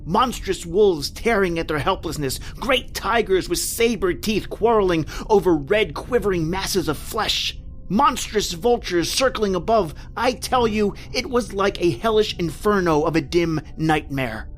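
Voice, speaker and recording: A man's voice: high voice, lively intonation, measured pace, faint music behind. Man acting an anguished, haunted radio horror narrator. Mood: haunted, horrified. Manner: melodramatic, intense, repetitive narration. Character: anguished married man trapped in prehistoric time, narrating his horror.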